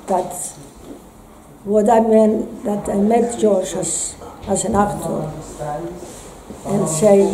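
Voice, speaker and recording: A woman's voice: mid-range voice, mellow. A woman speaking emphatically in a casual conversation, with restarts. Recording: echoey room with crowd chatter.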